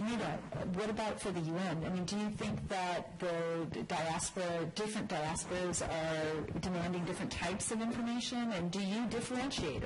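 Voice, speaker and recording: A woman moderating a conference panel, asking questions in a brisk, polite way. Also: lowish voice, background noise. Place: conference room.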